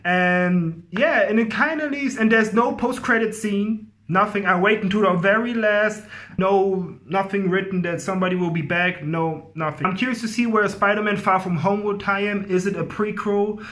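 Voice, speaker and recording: A man's voice: high voice, fairly even intonation, moderate pace, fairly bright tone. A man giving a casual, effusive movie review to viewers, rambling and often repeating himself. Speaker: film review host.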